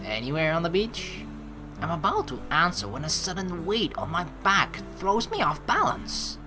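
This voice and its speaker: Animated, bright-voiced woman game commentator. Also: lowish voice, normal speed, soft background music. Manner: animated, exclaims and reacts to the story. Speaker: let's play host. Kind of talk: excitable game commentary mixed with reading narration aloud.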